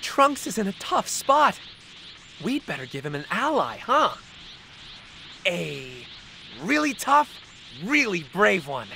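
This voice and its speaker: An actress playing a serene, gently chiding celestial mentor in an anime video game, measured and soothing. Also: female voice, medium pitch, sing-song, vocal fry, noisy background, heavily acted. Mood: calm, coaxing. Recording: music behind.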